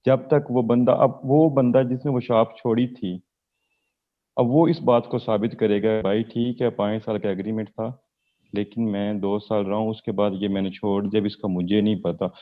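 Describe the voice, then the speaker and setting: A man with a fairly low voice walking through an example one step at a time, addressing his teacher. The recording is dull and lo-fi. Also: steady tone, moderate pace. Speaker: student. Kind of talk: classroom discussion.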